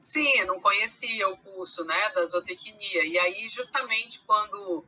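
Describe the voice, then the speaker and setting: Brazilian woman from rio, kinda mixed accent, really bright voice, talks with lots of ums and restarts, bit of noise behind. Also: medium pitch, measured pace, low-quality recording. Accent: mixed Brazilian Portuguese, carioca with Minas traces. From Rio de Janeiro, Brazil. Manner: hesitant, many fillers and restarts. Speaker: guest, animal science teacher. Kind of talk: casual podcast conversation.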